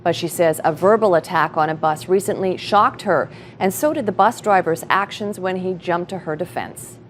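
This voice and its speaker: A female TV reporter with a fairly low voice reads her scripted report in a crisp, composed manner. She sounds steady and professional. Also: moderate pace, slight background noise. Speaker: television news reporter.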